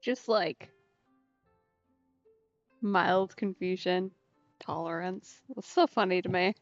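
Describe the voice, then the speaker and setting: A woman's voice: lowish voice, slow pace, muffled recording. A woman live-streaming a game, giving casual, understated, giggly commentary. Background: faint game music.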